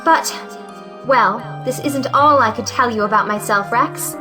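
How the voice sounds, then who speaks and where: A woman's voice: a little high, unhurried, over music, natural voice. A woman playing a frank, defiant young unmarried lady, earnest and plaintive, in a theatrical audio play. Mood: bitterly frank. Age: young adult. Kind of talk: theatrical audio drama acting of a 1917 play.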